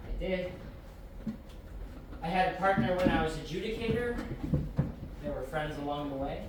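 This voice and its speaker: Woman acting teasing otherworldly ex adjudicator, audio drama, informal n a bit halting, super echoey, off mic. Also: fairly low pitch, recorded from a distance, close to an everyday voice. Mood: wistful. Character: Quench, teasing otherworldly former adjudicator who lives outside time. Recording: very echoey, off-mic, faint noise.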